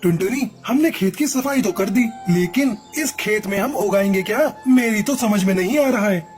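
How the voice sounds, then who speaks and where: A woman's voice: medium pitch, expressive, moderate pace, a little mellow, faint music behind, lightly acted. A woman voicing a young male pigeon in a cartoon, a dutiful husband and son with a plain, decisive manner. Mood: reflective.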